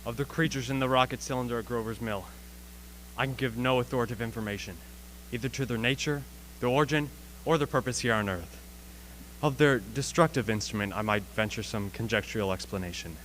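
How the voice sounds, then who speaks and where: A man playing a learned, analytical professor who lectures formally and deliberately in a radio play. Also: mid-range voice, a bit bright, slight background noise, natural voice, low-quality recording. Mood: grave, analytical. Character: learned male astronomy professor, measured and analytical.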